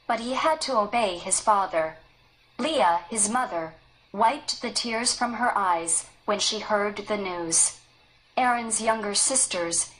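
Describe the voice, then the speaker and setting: A woman reading a children's story aloud in an even, careful storytelling manner. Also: medium pitch, rough recording. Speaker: story reader. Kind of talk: read-aloud storybook narration.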